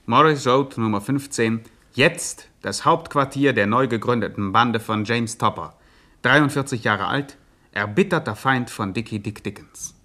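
A man giving measured, mock-dramatic scripted narration for a radio crime satire. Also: medium pitch, pushed and bright.

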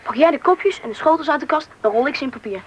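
An actor with a woman's register playing an eager, curious schoolboy in a radio play, chatty and husky. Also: sits high, animated, quick pace, lo-fi sound, background noise. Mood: wistful, chatty. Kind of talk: radio play acting with first-person narration.

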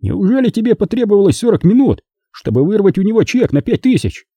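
A man's voice: high-pitched, sing-song, a little fast, warm tone, breathy edge, no echo, pitched above the performer's own voice, exaggerated voice. A man voicing a grumpy, impatient male cop in an audiobook, complaining irritably. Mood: irritated.

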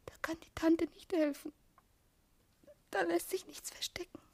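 A woman playing a shy, anxious young niece in a radio drama, hushed and hesitant, as if thinking aloud. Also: very high-pitched, steady tone, measured pace. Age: young adult. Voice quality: breathy, whispery. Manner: agitated, hesitant. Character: shy, anxious poor young niece, overwhelmed by a grand hotel.

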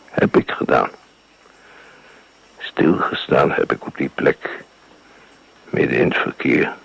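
An actor playing an older writer haunted by a wartime firestorm, speaking slowly in a hushed, breathy, gravelly voice in a radio play. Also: male voice, extremely low pitch, heavily acted, poor audio. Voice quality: gravelly, breathy, half-whispered. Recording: old radio broadcast recording.

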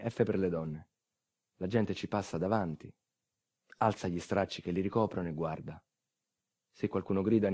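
An actor playing a bitter, resigned earthquake survivor in a theatrical storytelling monologue, sardonic and folksy, with wry asides. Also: male voice, medium pitch, mellow. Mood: grim, resigned. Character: bitter, resigned southern Italian earthquake survivor telling his tale.

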